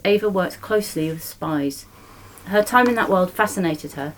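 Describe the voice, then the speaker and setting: A woman with a fairly low-pitched voice hosts an arts interview. Her delivery is that of a prepared, scripted presenter introducing and questioning a guest. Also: poor audio. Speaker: podcast host.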